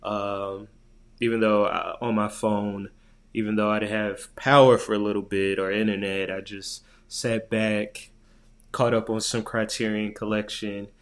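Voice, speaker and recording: Laid-back, lower-voiced man chatting. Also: flat delivery, a little slow. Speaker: podcast co-host. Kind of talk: casual chat.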